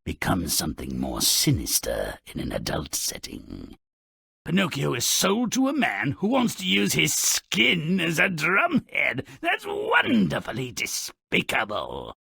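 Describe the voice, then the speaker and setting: Video game narrator role: malicious, sardonic gnome, raspy and forceful, slow relishing delivery of dark fairy tales, exaggerated and mocking. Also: male voice, fairly high pitch, no echo, clean studio sound, heavily acted. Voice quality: raspy, gravelly.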